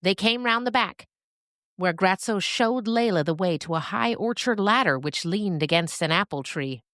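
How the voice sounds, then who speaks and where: A woman narrating a scripted story expressively, with dramatic pauses. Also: mid-range voice, expressive, a bit bright, dry recording, clean studio sound.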